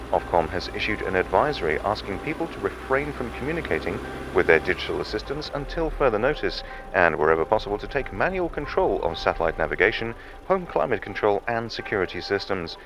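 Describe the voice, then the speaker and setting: A man playing a serious newsreader, giving a formal, measured scripted bulletin in a radio play. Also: fairly low pitch, background noise. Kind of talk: scripted news bulletin delivery. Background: music.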